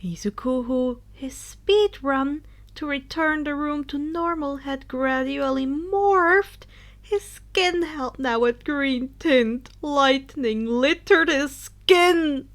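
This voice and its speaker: A woman's voice: high-pitched, slow. A woman narrating fan fiction in a dramatic storytelling style.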